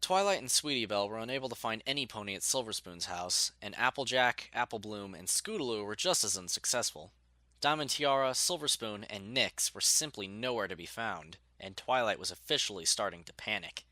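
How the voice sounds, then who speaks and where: A man narrating a scripted story in an expressive storytelling manner. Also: on the low side, brisk, no room sound.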